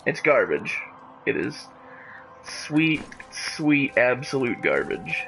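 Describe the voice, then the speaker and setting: A man's voice: medium pitch, very animated, takes it slowly, vocal fry, fairly bright tone, slight background noise, poor audio. A man giving casual, jokey live commentary as he streams a game, with mock drama. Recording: game music behind the voice. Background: video game music.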